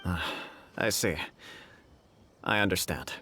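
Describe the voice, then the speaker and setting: A man's voice: low voice, slow, bright, forceful tone, a little hiss or hum, full character voice. A man playing a courteous, dignified government official in an anime video game, formal and gracious, with music behind. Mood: gracious, composed. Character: courteous, dignified male shogunate commission official.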